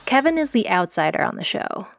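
A woman host narrates a scripted audio documentary with a dry, sardonic edge. She drops casual slang into polished delivery. Her pitch sits in an ordinary middle range. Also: big pitch swings, fairly quick, vocal fry, over music. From born in South Korea, raised in the US. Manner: wry, sardonic, pop-culture slang. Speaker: producer and host.

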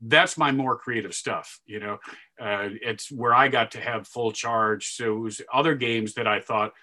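A man with a deep voice talks through his years as a game announcer in a relaxed interview. His tone is bright and forceful, and he is quick to jump into a loud, hyped-up sportscaster call. He is animated and chatty, restarting his sentences often. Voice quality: deeper voice. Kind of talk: interview storytelling.

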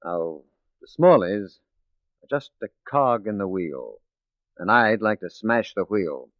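An actor playing a shrewd, determined police detective in a 1940s radio drama, speaking in a measured, persuasive way. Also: male voice, fairly low pitch, expressive, dry recording. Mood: grave, resolute. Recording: muffled 1940s radio recording.

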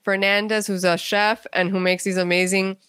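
A woman talking casually and informally as an interview guest, chatty and animated. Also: mid-range voice, moderate pace, clear, resonant tone, dry recording, clean studio sound. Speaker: guest, playwright and author.

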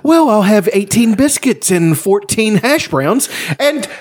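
A man's voice: high voice, big pitch swings, unhurried. A man co-hosting a podcast in casual banter, sweary and given to exaggerated comic rants. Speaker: podcast co-host.

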